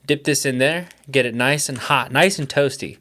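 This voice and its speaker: A young man talking casually to camera in a mid-range voice, full of quick reactions and filler words. He sounds keen and curious, narrating each step of his own studio experiment. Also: mid-range voice, bright, forceful tone. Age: young adult. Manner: enthusiastic, thinks aloud, many "like"s and fillers. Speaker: music producer testing cassette tape. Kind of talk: casual vlog commentary.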